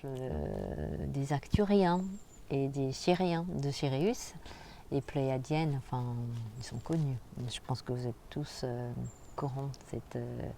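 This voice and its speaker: A woman hosting a spiritual channel, talking casually and directly to the viewer in a soft, halting way with frequent hesitations. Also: a bass voice, animated, gravelly, a little hiss or hum. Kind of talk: casual spoken vlog monologue.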